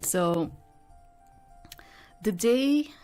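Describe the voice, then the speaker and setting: A woman giving casual game commentary and reading aloud, thinking out loud in a hesitant, rambling way. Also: medium pitch, animated, drawn-out words, faint music behind. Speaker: host of a game playthrough.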